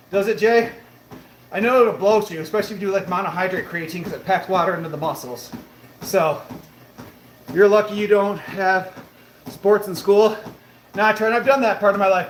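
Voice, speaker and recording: A man in his late thirties hosting a live stream from his treadmill, answering viewer comments in an upbeat, motivational way. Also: high-pitched. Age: 30s. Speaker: livestream host. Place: home room with a treadmill, indoors. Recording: roomy echo, faint background noise.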